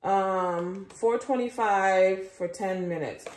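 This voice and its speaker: A woman's voice: mid-range voice, takes it slowly, darkish tone. A woman, chatting informally to viewers in a home vlog and often repeating her phrases. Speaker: lifestyle vlogger. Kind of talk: casual vlog chat to viewers. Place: rooms at home, indoors. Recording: roomy echo, background noise.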